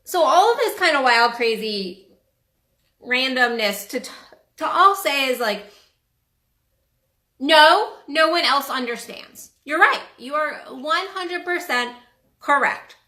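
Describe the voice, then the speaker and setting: A woman's voice: high-pitched, lively intonation, takes it slowly, pauses often, sharp tone. A woman life coach talking in a casual livestream monologue, rambling and earnest, with frequent restarts and the odd swear word. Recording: roomy echo.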